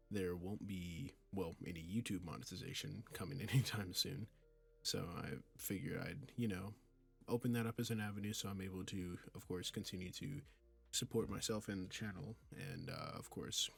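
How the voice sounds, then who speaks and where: A man's voice: lowish voice, vocal fry, slightly rough. A man speaking as an audio creator, chatting casually and earnestly to his listeners in a rambling, informal way.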